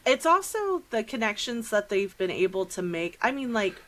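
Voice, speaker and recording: A woman's voice: on the high side, sing-song, moderate pace, fairly bright tone. A middle-aged American woman chatting casually as a podcast host, with slangy asides and acted-out reactions.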